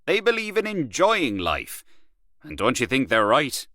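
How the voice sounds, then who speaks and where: A man voicing a boastful, worldly Irish male journalist who talks loud and swaggering. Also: medium pitch, huge pitch swings, a little slow, dry recording, clean studio sound, pitched above the performer's own voice, heavily acted.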